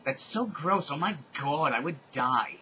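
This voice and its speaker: Man putting on a shrill bratty teenage girl. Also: expressive, fast talker, bright, forceful tone, slight background noise. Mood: disgusted.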